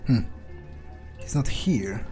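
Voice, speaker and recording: Male let's-player, mid-pitched, blunt and foul-mouthed, role-playing aloud over faint music. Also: animated, slow, dark tone. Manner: sweary, blunt, informal. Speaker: gamer playing in character. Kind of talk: in-character video game playthrough, thinking aloud. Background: faint game music.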